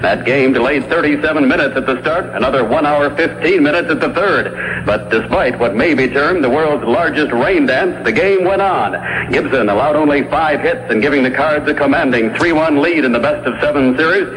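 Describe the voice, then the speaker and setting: A man's voice: fairly high pitch, moderate pace, fairly bright tone, slight background noise, low-quality recording. A man delivering a scripted sports report on 1960s AM radio.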